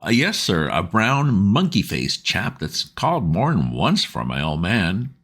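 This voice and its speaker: A man's voice: low-pitched, huge pitch swings, unhurried, a bit bright, voice pushed up, heavily acted. A man voicing a stout, red-faced, working-class boatman's wife who rambles anxiously in homely dialect.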